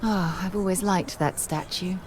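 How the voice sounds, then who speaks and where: Actress as a low-voiced, wry spacefaring woman. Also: fairly even intonation, a little slow, noisy background, close to an everyday voice. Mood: musing. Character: dry, sardonic female spacefaring companion. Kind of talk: video game dialogue.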